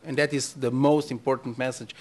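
A man whose first language is Slovene speaks English on a panel at an ordinary mid pitch. He hesitates often and stops to fill gaps before finishing his points. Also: flat delivery, fairly quick, a little mellow. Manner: hesitant, many fillers and restarts.

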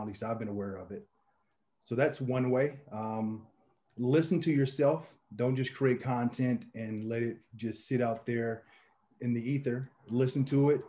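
Mellow-voiced man with an Indiana accent. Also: medium pitch. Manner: relaxed, measured advice-giving. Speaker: meetup organizer. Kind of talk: casual video call chat. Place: small home office. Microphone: video call.

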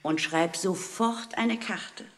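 A woman's voice: fairly low pitch, slow. A woman playing a caring, fussing mother in a 1960s radio play, giving motherly instructions and admonishments. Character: caring, fussing working-class mother of a schoolboy. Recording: vintage record with background noise.

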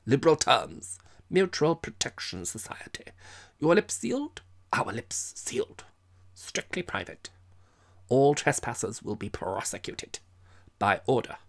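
A man's voice: medium pitch, big pitch swings, measured pace, voice pushed up. A man voicing a drunken, indignant middle-aged man in an audiobook, with portentous, insistent, stumbling speech. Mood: threatening, pompous. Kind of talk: audiobook character voice.

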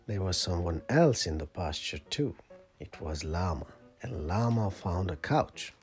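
A storyteller reading a picture book aloud to children in an expressive, playful way with dramatic emphasis. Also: low voice, unhurried, faint music behind. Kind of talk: animated picture-book read-aloud for kids.

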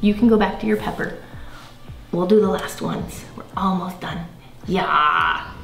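A woman's voice: fairly low pitch, a little slow, gravelly, a bit bright, roomy sound, faint music behind. A young woman hosting a casual cooking video, chatting and explaining each step as she cooks in a large home kitchen. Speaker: host.

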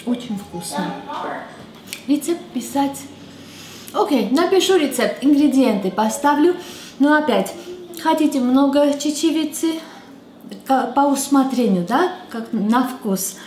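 A woman's voice: high-pitched, lively intonation, slight background noise. A woman in her thirties, a native Armenian speaker, hosting a casual cooking demonstration in her home kitchen with a chatty, playful manner. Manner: chatty, playful, slow and unhurried. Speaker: cooking show host. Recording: echoey kitchen with loud music bed.

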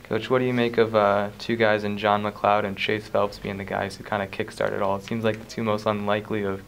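A man, a reporter, asking quick questions at a press conference. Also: on the low side, little pitch movement, gravelly, a little hiss or hum.

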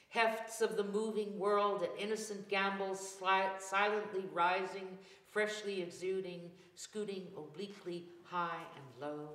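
Slow, low-voiced older woman reading poetry. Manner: deliberate, measured phrasing with pauses between lines. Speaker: poet reading aloud. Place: church meeting room with tiled floor, indoors. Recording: roomy, reverberant hall sound.

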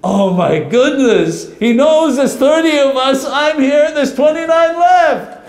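A man's voice: extremely high pitch, animated, slow, voice pushed up, heavily acted. A man voicing a frightened male robber who stammers and talks over himself breathlessly. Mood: panicked. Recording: roomy echo.

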